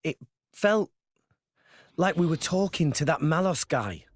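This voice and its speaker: An earnest, expressive boy hero. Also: fairly high pitch, measured pace, no room sound, clean studio sound. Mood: uneasy, unsure. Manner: hesitant, sincere. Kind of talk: video game cutscene acting.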